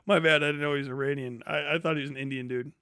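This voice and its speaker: Guy streaming with a highish voice, super dry sound, ranting casually, sweary and slangy, goes on tangents. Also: steady tone, rapid-fire, bright, forceful tone, smooth, no room sound. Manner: profane, slangy. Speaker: live streamer. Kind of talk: casual opinionated monologue.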